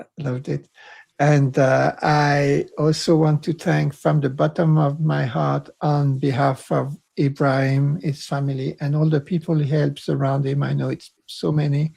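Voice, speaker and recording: A man with a croaky voice co-hosting a panel discussion, rambling and self-mocking, then speaking with passion. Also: a little high, a little mellow. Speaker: co-host.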